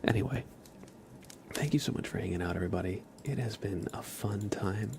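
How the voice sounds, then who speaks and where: Gravelly, low-pitched man, softly half-whispering. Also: fairly quick, a little hiss or hum. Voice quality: soft, breathy, often slipping into whisper. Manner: relaxed, informal, uses y'all. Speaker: ASMR livestream host. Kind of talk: casual ASMR stream chat.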